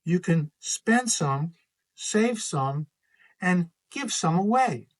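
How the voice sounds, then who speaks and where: A gentle father from a picture book, read by a man. He is generous and reassuring with his young son, in an unhurried storybook delivery. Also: high voice, expressive, exaggerated voice.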